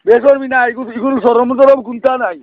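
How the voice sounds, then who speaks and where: High-pitched scolding Sylheti man on phone. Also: steady tone, fairly quick. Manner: exasperated, scolding, rambling, punctuated by questioning grunts. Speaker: prank call participant. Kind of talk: heated phone argument. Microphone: telephone. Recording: thin noisy phone line.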